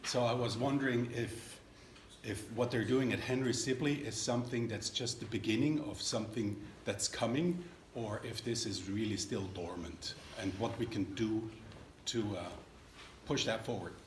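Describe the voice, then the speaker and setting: A man from Switzerland asking his state legislators a question at a town hall, earnest and measured, with hesitant fillers. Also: lowish voice. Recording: echoey room, faint background noise.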